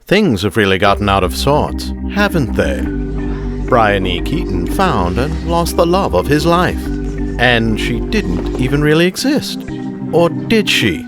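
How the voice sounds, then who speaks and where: Man as theatrical narrator with wildly swinging pitch. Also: sing-song, unhurried, over loud music. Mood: sly, welcoming. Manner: arch, theatrical, addressing the audience. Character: chatty storyteller host, easily flustered.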